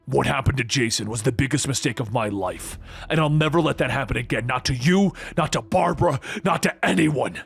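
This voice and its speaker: A man's voice: medium pitch, fairly quick, gravelly, breathy edge, faint music behind. A man voicing a grave, remorseful adult vigilante in a comic book story, speaking solemnly and earnestly. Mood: remorseful resolve.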